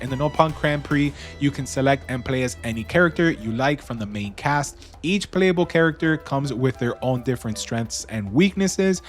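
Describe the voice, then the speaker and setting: Male gaming host, slightly high voice, casual and slangy, dry wit, faint music bed underneath. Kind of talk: casual game review voice-over, part scripted, part off the cuff.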